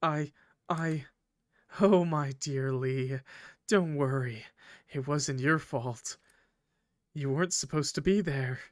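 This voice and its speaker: A man voicing a possessive, coldly polite villain from a visual novel, speaking formally and theatrically in measured, condescending phrases. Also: a little high, no echo, studio quality. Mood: mock soothing. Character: possessive, coldly polite male villain, eerie train conductor. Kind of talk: visual novel character reading.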